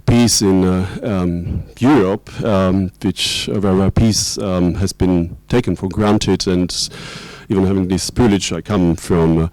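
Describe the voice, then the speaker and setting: A young man from Czechoslovakia, a lecturer in international security, giving a conference talk with many pauses and restarts. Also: sits low, steady tone, a little mellow, dry recording, rough recording. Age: young adult. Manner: hesitant, many ums and restarts.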